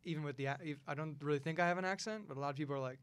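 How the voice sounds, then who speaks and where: A man's voice: a little high, rapid-fire, clean tone. A man hosting a podcast in a small studio, chatting casually and rambling a little, with frequent laughs. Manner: relaxed, rambling, laughs often. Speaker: podcast host. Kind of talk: casual chat.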